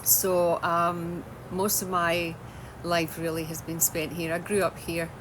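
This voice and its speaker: A woman's voice: on the low side, monotone, slow pace. Chatty Scottish woman on a noisy livestream. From Scotland. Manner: chatty, loose, restarts often. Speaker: holistic wellness coach. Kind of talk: casual livestream talk. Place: home in Australia.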